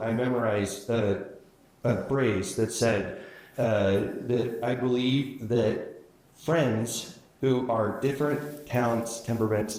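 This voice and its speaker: A male candidate answering at a local debate. His voice sits at a middle pitch and carries an easy, chatty manner with frequent fillers. The room adds noticeable echo. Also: darkish tone. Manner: conversational with fillers, quick when rushed. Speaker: county commission candidate.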